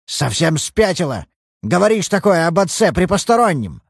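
A shrill, quavering old man, as a male narrator puts him on, self-pitying and quick to anger. The voice sits well above the reader's own and swings widely in pitch. It sounds close and dry, with no room around it. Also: sing-song, gravelly, slightly rough, dry recording, full character voice. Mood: scornful.

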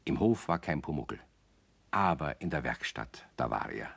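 A man tells a children's story as the narrator of a radio play. His voice is low, with very lively, expressive intonation. The delivery is scripted storytelling. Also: moderate pace, vocal fry, slightly rough.

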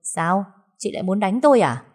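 A woman's voice: medium pitch, sing-song, moderate pace. Woman voicing a scornful defiant young wife. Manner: sharp and scornful. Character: cold defiant young wife leaving her husband.

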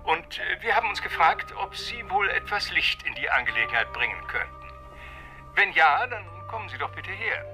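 Breezy, high-voiced doctor, music behind. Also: male voice, sing-song, moderate pace. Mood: matter-of-fact, flippant. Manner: chummy, flippant, informal. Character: breezy, familiar, flippant doctor. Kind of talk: German radio drama acting.

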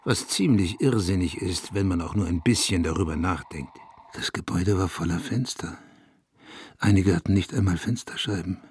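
An actor playing a brooding small-town man who tells his memories in a measured, reflective radio play monologue, with a husky, rough-edged voice. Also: sits low, darkish tone, slight background noise, close to an everyday voice. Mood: brooding, haunted. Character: brooding small-town man recalling local gossip and the dead. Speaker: narrator. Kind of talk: literary radio play monologue, scripted narration.

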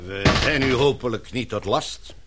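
A man playing a courteous, formal gentleman in a radio play. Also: medium pitch, moderate pace, a little hiss or hum, natural voice. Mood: polite. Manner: polite, formal. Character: courteous, formal gentleman visitor, a knighted father.

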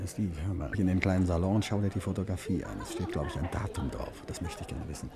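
Calm detective, curious, lightly teasing, lively intonation, 1950s radio play, faint music behind. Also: male voice, deep voice, quick pace. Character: adult male amateur detective, calm and curious. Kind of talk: 1950s radio drama acting.

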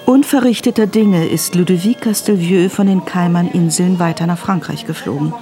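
A woman's voice: lowish voice, moderate pace, dark tone. A woman reading scripted, present-tense narration for a radio drama.

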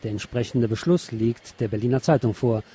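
Husky man reading the radio news. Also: medium pitch, brisk, mellow. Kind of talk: reading news reports aloud with brief asides.

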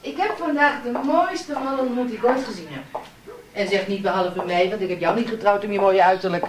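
An actress in a Dutch radio drama playing a brisk, dry-witted female police inspector with a bossy, teasing manner. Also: medium pitch, lightly acted. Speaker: actor in a radio play.

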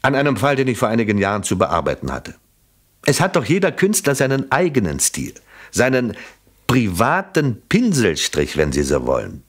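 An actor playing a shrewd police inspector in a German radio play, probing with polite, dry irony and skepticism. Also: male voice, medium pitch, huge pitch swings. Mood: skeptical probing. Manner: ironic, polite, measured, probing.

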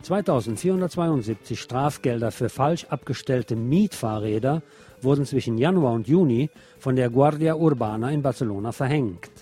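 A man reads local news from a script in a soft, dark-toned, relaxed voice. He has a sardonic, editorializing manner that colours the reading. Faint music with guitar plays behind him. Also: medium pitch, breathy edge. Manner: sardonic, opinionated asides. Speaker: radio news presenter.

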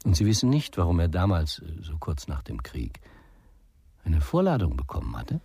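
Deep, husky probing lawyer. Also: male voice, huge pitch swings, normal speed, mellow, no room sound, heavily acted. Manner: hesitant, probing questioner. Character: sceptical state lawyer, formal and probing. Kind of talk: German radio crime drama acting.

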